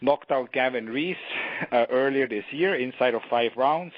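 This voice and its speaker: A man hosting a press conference by phone, introducing a fighter in a promotional style with occasional pauses. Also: medium pitch, dry recording. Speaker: boxing promoter, call host. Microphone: telephone. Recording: muffled conference phone line.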